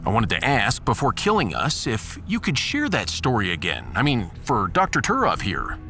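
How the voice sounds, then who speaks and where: A brash starship captain acted by a man in a sci-fi audiodrama. The delivery is forceful, colloquial and commanding, with very wide pitch swings and faint music behind. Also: wildly swooping pitch, moderate pace, exaggerated voice. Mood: wry stalling. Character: brash, fast-deciding starship captain rallying the crew.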